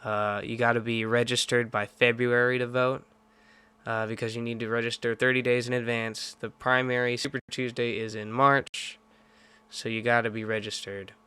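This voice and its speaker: Blunt, emphatic man with a crisp voice. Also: mid-range voice, flat delivery, moderate pace, pushed and bright. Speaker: political commentator. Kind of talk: political commentary.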